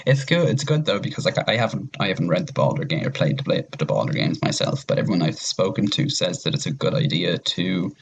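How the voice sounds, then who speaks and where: Casual male podcast guest, low register with vocal fry, informal and slangy. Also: very animated, quick pace, few pauses. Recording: clearly noisy background with clicks.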